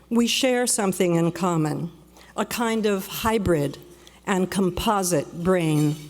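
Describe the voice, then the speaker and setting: A woman born in Peru giving a measured, reflective prepared speech at a luncheon, slowing down for emphasis. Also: medium pitch. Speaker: guest speaker. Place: banquet hall. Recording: long reverb of a large hall.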